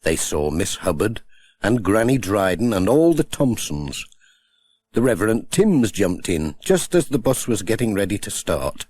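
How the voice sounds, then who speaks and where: A man's voice: fairly low pitch, sing-song, a little slow, darkish tone. A man narrating a children's story as an audiobook reader.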